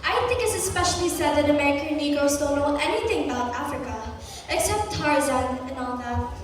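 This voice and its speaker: A woman's voice: high voice, lively intonation, brisk. A young woman acting a hospitable, proud, chatty middle-aged American mother in an amateur stage play. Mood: welcoming, chatty. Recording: echoey hall from far off.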